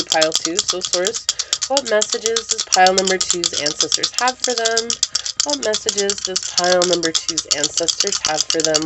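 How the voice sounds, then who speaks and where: A woman giving a pick-a-card tarot reading to her viewers, gentle and reassuring and full of affirmations, sounding slightly congested as she gets over a cold. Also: medium pitch, very noisy.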